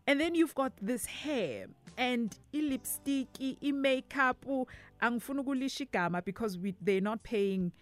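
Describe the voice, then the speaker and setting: A woman's voice: high-pitched, animated, normal speed, faint music behind. A South African woman hosting a radio dating segment, teasing and mixing English with Zulu. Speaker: radio host. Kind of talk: casual radio chat. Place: radio studio.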